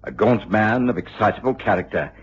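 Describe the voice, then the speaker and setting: An actor playing a gentleman narrator with an English accent, telling a story formally and in measured phrases in a period radio drama. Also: on the low side, husky. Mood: composed, storytelling. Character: measured male gentleman narrator, the detective's loyal companion. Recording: dull old radio recording.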